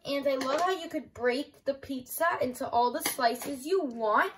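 A woman's voice: high-pitched, measured pace, background noise. A young woman giving a casual, enthusiastic toy review in simple words, with plenty of "like" as filler. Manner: enthusiastic, simple explaining with frequent "like" and "I love". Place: small room at home.

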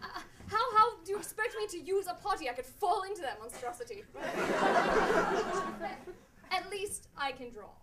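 A woman's voice: extremely high pitch, very animated, moderate pace, long pauses. A woman playing a bratty, boastful schoolboy wizard bully in broad stage comedy, taunting and whining theatrically. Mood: whiny. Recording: distant noisy live theatre, laughing crowd.

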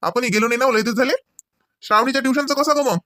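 A man's voice: extremely high pitch, very fast, bright, forceful tone, no echo. Teasing, sarcastic husband for cartoon comedy male performer: homely grumbler, dry sound. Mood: teasing, agreeable.

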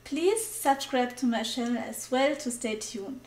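A woman hosting her art channel, reading a brisk scripted intro and interview questions. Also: on the high side, a little mellow. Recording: echoey room.

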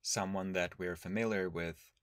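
A man leading a guided meditation as a teacher, speaking in a calm, measured, instructional way with long pauses. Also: sits low, no echo.

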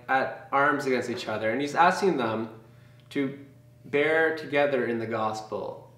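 A man's voice: mid-range voice, a bit bright, distant mic. A young man in a small brick-walled room explains scripture straight to the camera. His mid-range voice is earnest and casual, full of ums and restarts. It is picked up from a few feet away, so the room's echo comes through around it. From Canada. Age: young adult. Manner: earnest, conversational, with frequent fillers and restarts. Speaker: campus ministry leader. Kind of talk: informal Bible teaching to camera. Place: small room with brick wall and bookshelves, indoors. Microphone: camera mic from a few feet away.

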